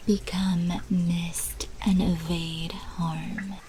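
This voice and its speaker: Female vampire mob lieutenant, acted by a woman, ASMR drama: unhurried in the extreme, breathy, somewhat low, sly and alluring. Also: flat delivery, mellow, slight background noise, rough recording. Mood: seductive, hushed. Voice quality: breathy, often whispering. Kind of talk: scripted ASMR roleplay audio drama.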